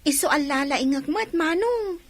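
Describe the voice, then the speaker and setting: An actress playing a cheerful teenage younger sister who giggles often. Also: female voice, high-pitched, expressive, fairly quick, no room sound. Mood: bubbly.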